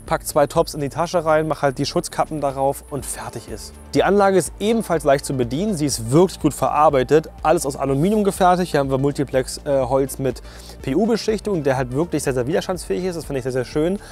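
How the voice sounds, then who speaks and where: A man's voice: on the high side, quick pace, darkish tone, faint music behind. A man giving a casual, colloquial and opinionated product review as a sound technician.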